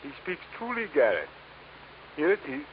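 High-voiced, eerily polite henchman. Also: wildly swooping pitch, normal speed, dull audio. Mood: placid. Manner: stiffly formal, placid, foreign-sounding phrasing. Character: soft-spoken, polite male henchman with a gun. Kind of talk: 1950s radio drama acting. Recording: thin, hissy old radio recording.

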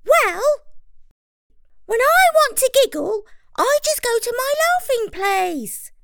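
A woman voicing a small, cheeky, giggly trickster monkey in a children's story, with a squeaky, sing-song delivery. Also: sing-song, slow, creaky, very noisy, heavily acted. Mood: gleeful.

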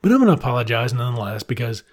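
A middle-aged American man from Mississippi with a husky voice, giving comic commentary in an irreverent, sardonic, swearing manner. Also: mid-range voice, very animated, racing. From Mississippi, United States.

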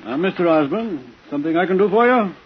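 An actor playing an evasive, outwardly genial shop owner in a 1950s radio thriller, guarded and speaking in short phrases. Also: male voice, high voice, expressive, fast. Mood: genial, wary. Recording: dull, faintly noisy 1950s radio broadcast.

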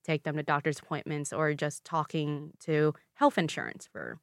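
A woman in her twenties, raised in the American South with Vietnamese as her first language, explaining her family story calmly and steadily in an interview. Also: low-pitched, normal speed, no room sound, clean studio sound. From Louisville, Kentucky, USA. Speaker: interview guest. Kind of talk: conversational interview.

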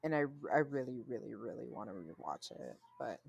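A woman's voice: rumbling low, very animated, fairly quick, gravelly, darkish tone, husky, no echo. A woman co-hosting a podcast in casual chat, blunt and free with her swearing. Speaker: podcast co-host.

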